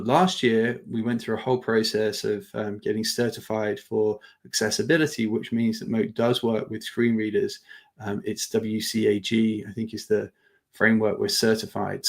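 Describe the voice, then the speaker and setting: Man with a creaky voice presenting informally. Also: on the low side. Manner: halting, thinking aloud. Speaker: webinar presenter demonstrating software. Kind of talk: informal live product demo with Q&A.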